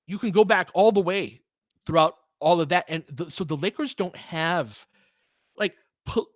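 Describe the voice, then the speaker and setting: A man's voice: high-pitched, fairly bright tone, dry recording, studio quality. A man giving casual, opinionated basketball commentary as a podcast host, emphatic and conversational, often checking in with rhetorical questions.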